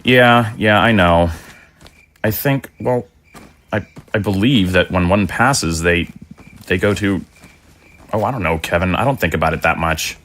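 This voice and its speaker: Man playing a halting, low-voiced gentle father. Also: slight background noise. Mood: tender, reflective. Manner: halting, earnest. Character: devoted undead father, gentle, halting, reflective. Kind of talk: audio drama acting.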